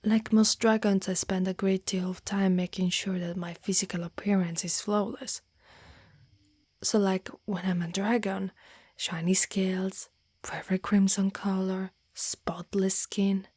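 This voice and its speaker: A woman voicing a devoted, lovestruck female dragon knight in human form, in an intimate, half-whispered ASMR roleplay with formal, halting phrasing. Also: mid-range voice, flat delivery, a little mellow, slightly rough, dry recording. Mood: adoring, confessional. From Italy. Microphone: close microphone.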